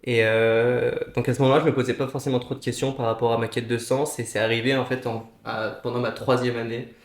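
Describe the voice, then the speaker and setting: A man in his twenties, a guest in a casual round-table chat, relaxed and joking, with many fillers. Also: mid-range voice, fast talker. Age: 20s. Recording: echoey room.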